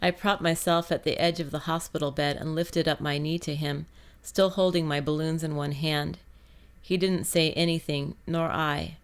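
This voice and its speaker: Low-pitched woman narrating fiction. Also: steady tone, normal speed. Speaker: audiobook narrator. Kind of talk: scripted first-person fiction narration.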